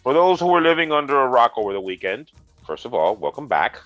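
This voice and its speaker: A congested man co-hosting a gaming news chat, making dry, deadpan jokes as he explains the news. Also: mid-range voice, fast, no room sound, lo-fi sound, soft background music. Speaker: co-host, retro gaming specialist.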